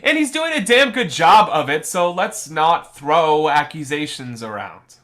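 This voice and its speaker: Bright tense-sounding director guy, stammers, restarts a lot. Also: fairly high pitch, lively intonation, unhurried. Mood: defensive. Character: fussy, placating male radio director. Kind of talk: audio drama acting.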